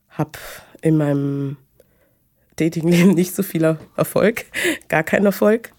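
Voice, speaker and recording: A woman, talking hesitantly about her personal life with many pauses and fillers. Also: low voice, vocal fry, mellow. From Munich, Germany. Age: 20s. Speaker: guest. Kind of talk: personal conversation.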